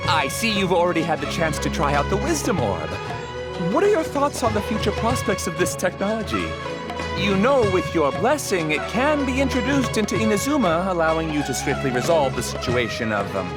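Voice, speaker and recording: Flattering overeager organizer, high swooping voice, game character, clear music behind. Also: male voice, fairly quick. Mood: fawning. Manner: fawning, ingratiating and overeager. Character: fawning male tournament organizer, pushy and flattering. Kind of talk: video game voice acting.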